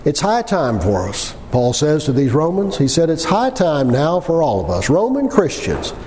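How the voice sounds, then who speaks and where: Folksy preacher in his sixties, animated, echoing hall. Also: a little high. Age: 60s. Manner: folksy, conversational; emphatic repetition with rhetorical questions. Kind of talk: sermon. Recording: long hall reverb with background noise.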